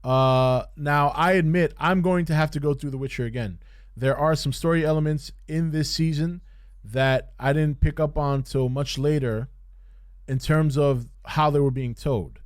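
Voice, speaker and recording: A man hosting a podcast, giving casual unscripted review commentary in a halting way, repeating phrases for emphasis. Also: on the high side, dry recording, studio quality. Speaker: podcast host reviewing TV shows.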